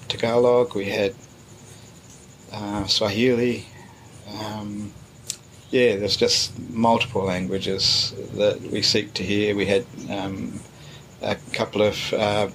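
A middle-aged man, a pastor, answering interview questions in a relaxed, hesitant way, with many fillers and pauses. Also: fairly low pitch, a little hiss or hum, low-quality recording.

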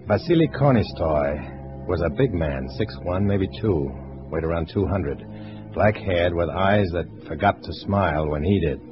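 An actor playing a tough, guarded undercover agent, terse and hardboiled, in an old-time radio detective drama. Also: male voice, low voice, lively intonation, measured pace. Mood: hardboiled narrating. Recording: muffled old radio recording with music.

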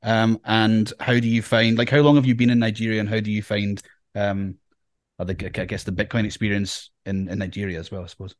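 A man's voice: on the low side, little pitch movement, brisk, dry recording. A man hosting a podcast interview, asking questions with many restarts. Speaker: podcast host. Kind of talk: casual interview chat.

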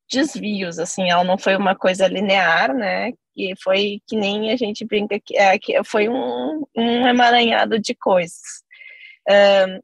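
Gravelly, husky woman in her thirties. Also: medium pitch, no room sound. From Brazil. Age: 30s. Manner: chatty, many fillers and asides. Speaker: podcast guest, company CEO. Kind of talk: casual podcast interview storytelling.

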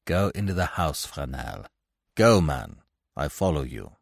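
Guy doing a deep cool gentleman on the run, formal and quietly threatening, storybook style, very dry sound. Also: sing-song, unhurried, creaky, no room sound, exaggerated voice. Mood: cold menace. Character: cool, resolute gentleman fugitive, quietly menacing.

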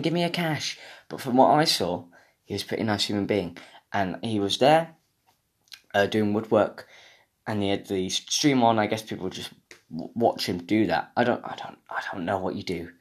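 A young British man hosting a podcast, rambling through a casual comedic rant, sweary and mock-indignant. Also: on the low side. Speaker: podcast host.

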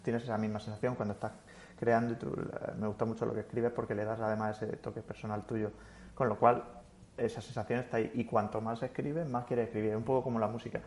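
A man speaking as a guest in a relaxed interview, reflective and rambling, with frequent restarts. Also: fairly low pitch, fast talker. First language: Spanish. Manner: thoughtful, rambling with many restarts. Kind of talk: casual interview chat.

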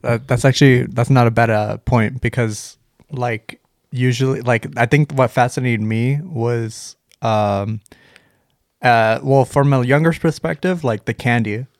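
A man from Vancouver guesting on a casual podcast. He talks hesitantly and fills his sentences with repeated starts and fillers. His voice is mid-pitched and sounds close and dry. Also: measured pace, no echo. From Vancouver, Canada. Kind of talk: casual chat.

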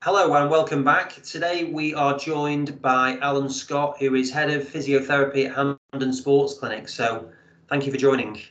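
A man's voice: medium pitch, fairly even intonation, moderate pace, fairly bright tone, roomy sound, lo-fi sound. A man hosting an interview and asking questions in a friendly, hesitant way. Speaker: interviewer host.

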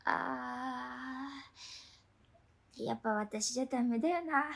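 High-voiced quirky schoolgirl, acted by a woman. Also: steady tone, slow pace, vocal fry, a bit bright, heavily acted. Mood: shy, dejected. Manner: dramatic, drops musical terms into her talk. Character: quirky, earnest schoolgirl in love with transfer student. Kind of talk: radio drama acting.

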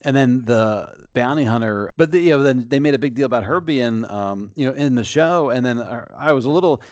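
A man's voice: medium pitch, brisk, a little mellow, no echo, muffled recording. A man with a mid-range voice co-hosts a casual podcast. He rambles along in loose sentences packed with fillers. The sound is dry and close to the mic. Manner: rambling, filler-heavy, easy laughs. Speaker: podcast co-host. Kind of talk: casual chat.

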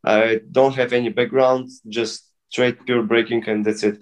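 Man, thirties, halting English, casual guest talk. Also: medium pitch, little pitch movement. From Bulgaria. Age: 30s. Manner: halting non-native English with many restarts. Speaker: guest breakdancer. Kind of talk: casual interview.

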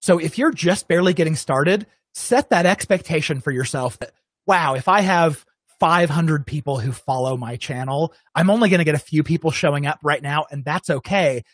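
A man from Arizona speaks as a guest in a live-streamed interview. His voice sits a little high for a man, recorded close and dry with no room sound. He talks in a relaxed, conversational way, sometimes voicing the imagined thoughts of his viewers. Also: moderate pace, no room sound. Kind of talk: casual interview talk, giving advice.

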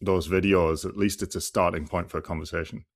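A man's voice: deep voice, steady tone, brisk. A man hosting a podcast, talking in a thoughtful, rambling way with many fillers and restarts. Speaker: podcast host and clinician. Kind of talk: casual podcast conversation.

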